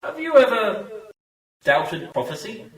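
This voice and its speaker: Man as earnest cult monk, swooping pitch, drawn-out ceremonious delivery, radio play, far off in echo. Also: big pitch swings. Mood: grave. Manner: slow, ceremonious. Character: solemn, faithful monk, newcomer to a secret Martian order. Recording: echoey, distant radio play recording.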